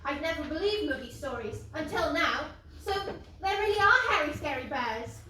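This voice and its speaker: A woman's voice: sits high, animated, moderate pace, background noise. A woman playing a timid, earnest young village girl in a stage pantomime. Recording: very echoey hall from far off.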